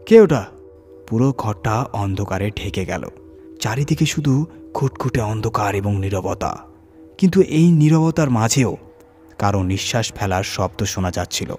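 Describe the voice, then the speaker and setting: A man reading a scripted horror story dramatically, with suspenseful pauses and emphasis. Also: fairly low pitch, expressive, darkish tone, slightly rough, faint music behind. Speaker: horror story reader and channel host.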